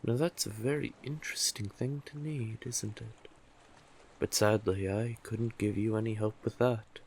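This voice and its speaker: Guy doing a monstrous but polite male sea witch for roleplay audio, deep coaxing voice, super slow, bit of background noise. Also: husky, full character voice. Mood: gentle, coaxing. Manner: soothing, courtly, teasing, drawn-out endearments. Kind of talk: scripted intimate roleplay audio drama.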